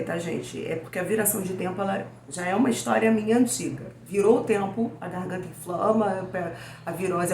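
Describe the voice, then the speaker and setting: Hoarse Brazilian woman, lowish voice. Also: darkish tone. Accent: Brazilian Portuguese. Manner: chatty, addresses viewers as "gente". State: weak, hoarse voice from a cold. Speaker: book channel host. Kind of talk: casual book review vlog. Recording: echoey room with faint noise.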